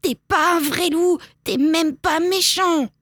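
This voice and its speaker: Woman voicing a high-pitched, bratty little goat kid. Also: expressive, measured pace, dry recording, studio quality. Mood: demanding, petulant. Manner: whiny, bossy, childish.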